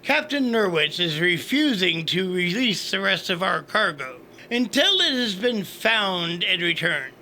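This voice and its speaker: An actor playing a wry, indifferent, hard-drinking space station officer with a dry, sarcastic manner. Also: male voice, high-pitched, big pitch swings, a little slow, few pauses, sharp tone, a little hiss or hum, full character voice.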